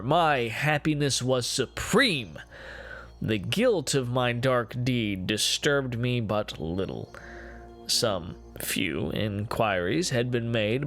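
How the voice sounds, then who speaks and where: With music behind him, a man voices an unhinged murderer telling his own tale of guilt. He speaks at a middling pitch in ornate, deliberate phrases. The delivery is theatrical gothic horror, gloating and swelling with emphasis. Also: fairly bright tone. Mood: gloating relief. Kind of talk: dramatic gothic horror reading.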